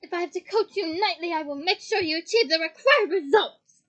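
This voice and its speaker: Lady doing a strict high voiced teacher from a storybook, firm, emphatic, won't back down. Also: normal speed. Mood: defiant, loud. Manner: stern, emphatic, ringing.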